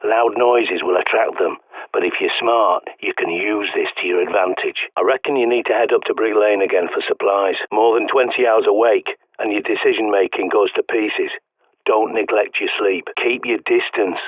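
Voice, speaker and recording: An actor playing a blunt, sweary, paranoid survivalist mentor in a video game, with an English accent, heard through a radio filter. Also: male voice, fairly low pitch, moderate pace, no room sound. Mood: grim, instructing. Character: gruff paranoid doomsday survivalist man, bossy mentor. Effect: radio transmission filter. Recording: muffled narrow-band radio sound.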